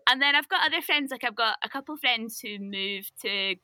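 A young woman talks as a podcast guest, chatting casually and laughing often. Her voice is very bright and sharp. The sound is dry and close. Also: fairly high pitch, animated, moderate pace, dry recording. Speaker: linguistics researcher guest.

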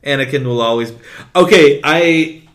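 A male podcast co-host chatting loosely and excitably, full of swearing and quick asides. English is not his first language, and he talks at a middle pitch. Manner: swears constantly, rambling and excitable. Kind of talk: casual chat.

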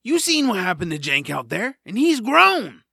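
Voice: medium pitch, very animated, normal speed, creaky, sharp tone, breathy edge, no room sound, studio quality, heavily acted. An actor playing a lazy, grumpy, nap-loving creature who complains grudgingly in a cartoonish audio drama.

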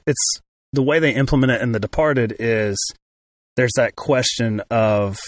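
A man's voice: medium pitch, no echo. A man co-hosting a casual film discussion, talking thoughtfully with frequent restarts and fillers. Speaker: film podcast co-host.

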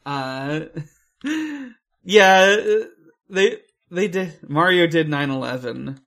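A podcast co-host chatting casually about a film, halting and hesitant, with dry humour. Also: mid-range voice, animated, fairly bright tone.

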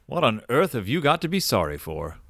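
An actor playing an elderly widowed grandfather in an audio drama, courteous and old-fashioned, wistful with a gruff, wry edge. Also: medium pitch, sing-song, fairly quick. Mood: courteous, curious. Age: older.

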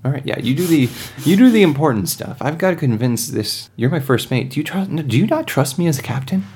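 A man's voice: on the high side, big pitch swings, fast talker, darkish tone, breathy edge, pitched above the performer's own voice, exaggerated voice. A man voicing a chatty, scheming starship captain in a roleplay podcast, casual and coaxing. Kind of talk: in-character tabletop roleplay.

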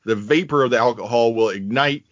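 A man's voice: medium pitch, brisk, fairly bright tone, no echo, muffled recording. A man co-hosting a podcast, recapping scenes in a dry, sarcastic and profane way. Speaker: podcast co-host. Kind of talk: casual chat, recapping a film.

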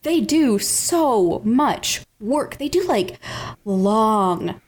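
A woman's voice: fairly high pitch, lively intonation, extremely slow, darkish tone. A woman co-hosting a podcast in casual conversation, leaning on key words for emphasis. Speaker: podcast co-host.

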